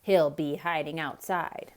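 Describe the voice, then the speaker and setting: A woman's voice: deep voice, fairly even intonation, slow pace, smooth, pitched below the performer's own voice. A woman voicing a bossy, scornful cat with a snappy manner in a children's storybook. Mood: scoffing. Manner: snappy, scoffing.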